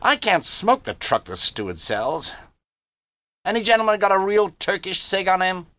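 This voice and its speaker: Guy doing a bragging american teen boy, slangy, pitched way up, swingy delivery, storybook reading. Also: sing-song, moderate pace, sharp tone, dry recording, exaggerated voice. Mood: cocky. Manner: slangy, cocky, showing off. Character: brash, boastful rich American teenage boy.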